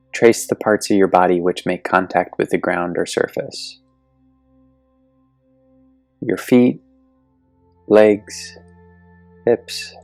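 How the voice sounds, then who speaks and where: Male meditation guide: gentle, deliberate delivery with a creaky low edge, recorded dry. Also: dry recording. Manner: soothing, gentle, deliberate with long pauses. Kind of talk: guided yoga nidra meditation. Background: soft meditation music.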